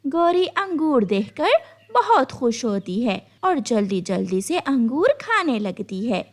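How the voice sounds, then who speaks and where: A woman's voice: fairly high pitch, very animated, unhurried. A woman narrating a scripted children's story as a storyteller.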